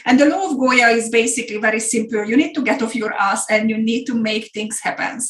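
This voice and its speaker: A woman's voice: fairly high pitch, fairly quick, a bit bright. A middle-aged woman from Hungary with a Hungarian accent, presenting an online business masterclass in an earnest way and repeating words for emphasis. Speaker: business coach. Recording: echoey room.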